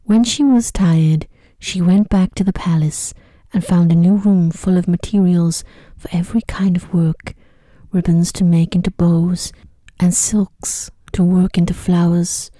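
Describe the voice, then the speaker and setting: Softly whispering woman reading a sleep story. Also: lowish voice, steady tone, no echo, clean studio sound. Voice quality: soft, breathy, whispery. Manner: slow, gentle, soothing delivery. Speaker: narrator. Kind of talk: ASMR sleep story narration.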